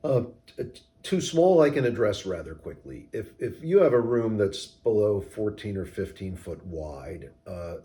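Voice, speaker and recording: A man giving measured, technical, explanatory answers in an interview as a company co-founder. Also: fairly low pitch, lively intonation, dark tone. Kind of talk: interview answers about product design.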